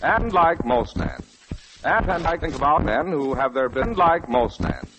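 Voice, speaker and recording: A man's voice: a little high, big pitch swings, a little slow, over music. An American man reading a 1940s radio advertisement in an earnest, formal announcer's style. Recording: noisy, crackly 1940s radio broadcast.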